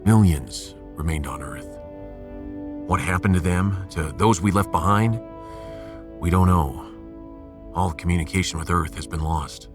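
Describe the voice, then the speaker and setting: A man playing a resolute starship captain in a sci-fi audio drama, commanding, earnest and measured. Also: low voice.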